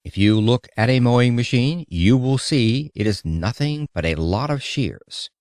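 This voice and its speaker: A man reading an audiobook of an old-fashioned public lecture in a theatrical, emphatic, oratorical manner with dramatic pauses. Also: medium pitch, animated, darkish tone, no echo, studio quality. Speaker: audiobook reader.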